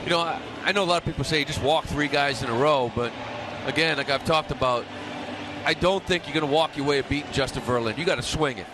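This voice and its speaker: A man's voice: a little high, fast, noisy background. A man with an American accent giving emphatic, colloquial analysis on a live baseball radio broadcast. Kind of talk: conversational sports analysis.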